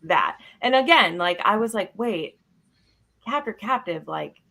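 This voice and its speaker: A woman chatting casually about books as a panelist, animated and informal, acting out her own reactions. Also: mid-range voice, a little slow, creaky. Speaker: book club panelist.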